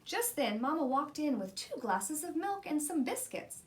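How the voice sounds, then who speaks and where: Female storybook reader: expressive, slightly high voice, animated delivery, faint background noise. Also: moderate pace. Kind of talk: expressive children's read-aloud narration.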